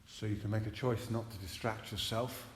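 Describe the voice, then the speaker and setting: A man leading a guided relaxation meditation as a teacher, soothing and hypnotic, repeating phrases between long pauses. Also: fairly low pitch, fairly even intonation. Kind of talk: guided relaxation meditation, spoken live to a group. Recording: echoey room with long reverb, faint noise.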